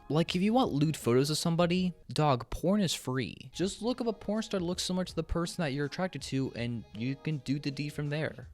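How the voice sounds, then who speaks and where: A man delivering opinionated scripted commentary as a video host, bluntly, with casual slang and swearing. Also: medium pitch.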